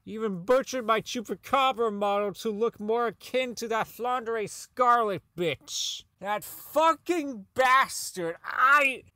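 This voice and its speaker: Guy playing a bitter nerdy robot designer coming apart, high voice, slow halting stammer, sweary audio drama log. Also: sharp tone, no echo, heavily acted. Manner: stammering, profane, ranting. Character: socially inept, embittered male robot designer unraveling into rage.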